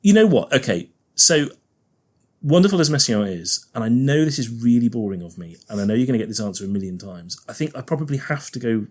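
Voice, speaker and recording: A man's voice: mid-range voice, lively intonation, fast talker, darkish tone. A man with a Liverpool accent, a guest organist chatting casually on a podcast, often laughing and thinking aloud.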